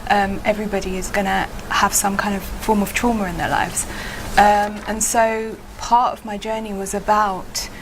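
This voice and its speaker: A gentle woman child psychotherapist explains her work in a thoughtful, unhurried manner, pausing often to find her words. Her voice sits in an ordinary mid register. Clear hiss runs under the recording. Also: mid-range voice, level tone. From United Kingdom. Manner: gentle, thoughtful, pauses with fillers. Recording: hissy background noise.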